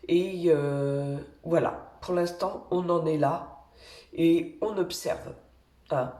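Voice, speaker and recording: A woman with a cold giving weekly oracle-card guidance as an energy healer, speaking gently and hesitantly with many pauses. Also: sits low, roomy sound. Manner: gentle, reassuring, pauses often while drawing cards. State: has a cold. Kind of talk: slow, hesitant spoken guidance with many fillers.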